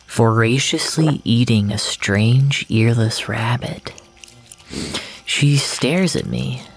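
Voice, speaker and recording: A narrator with a medium-pitched voice reads first-person literary fiction very slowly. The delivery is measured, with dramatic pauses between phrases. Faint music plays behind the voice.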